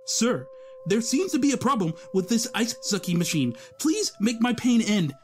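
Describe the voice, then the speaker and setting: Sardonic skinny young cartoonist, played by a man, narrating his own dreary nights with theatrical mock-grandeur. His mid-pitched voice leaps up and down expressively. Music plays behind him. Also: big pitch swings, normal speed. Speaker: narrator and lead.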